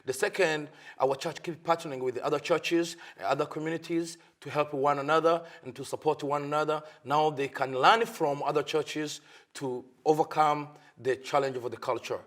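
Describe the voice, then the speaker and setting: A man from Africa, a pastor answering interview questions earnestly in halting, learned English. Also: a little high, steady tone, fairly bright tone. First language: not English. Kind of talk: TV interview guest.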